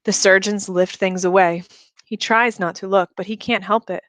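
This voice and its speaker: A woman's voice: medium pitch, steady tone, moderate pace, no room sound. Woman reading a novel aloud, dry sound. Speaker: reader of an audiobook.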